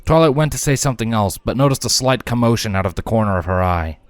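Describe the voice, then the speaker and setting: A man narrates a fantasy story from a script. His voice sits fairly low as he tells the tale in the role of storyteller. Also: expressive, fast talker, no room sound. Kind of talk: scripted fan fiction narration.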